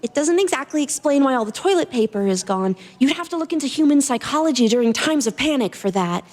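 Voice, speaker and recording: A woman's voice: high voice, animated, fast, a little mellow, in a large hall, voice pushed up. A woman voicing a clever, petite young woman in a story, with a teasing, matter-of-fact way of talking.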